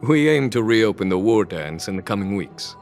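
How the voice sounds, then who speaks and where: A composed, diplomatic leader in a fantasy game. His voice sits fairly low and moves expressively while staying polite and measured. Soft music runs underneath. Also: lively intonation. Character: composed, courteous male commander, thoughtful and diplomatic. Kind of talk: scripted video game dialogue.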